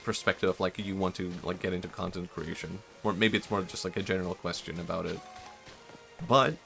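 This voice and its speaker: A man's voice: low-pitched, steady tone, a little fast, gravelly. A young man streaming live, talking casually and hesitantly, with many fillers, restarts and self-mocking asides. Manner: self-mocking, laughs softly. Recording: faint music behind.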